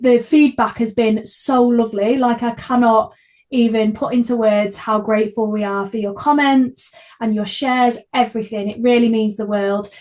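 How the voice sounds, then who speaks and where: A woman hosts a casual podcast conversation. Her voice sits fairly high. She speaks effusively and with enthusiasm, in an informal chatty style. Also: a little mellow. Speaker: podcast host.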